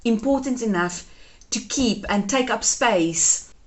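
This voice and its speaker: A woman's voice: on the high side, animated, slow, poor audio. Chatty woman with an Afrikaans first language. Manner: chatty, gushes over keepsakes. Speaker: home organizing vlogger. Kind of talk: casual decluttering vlog.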